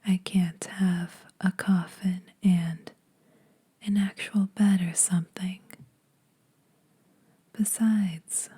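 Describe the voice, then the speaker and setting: A woman voicing a teasing, predatory yet protective female vampire in a breathy whispered ASMR roleplay, speaking slowly and a little formally. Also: fairly low pitch, mellow, heavily acted. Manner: slow, deliberate, faintly formal teasing.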